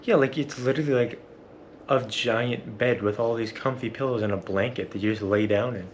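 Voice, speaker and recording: A man's voice: medium pitch, brisk. A man chatting casually to a vlog in an informal way, with enthusiastic, emphatic praise. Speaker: travel vlogger. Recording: very noisy travel vlog audio.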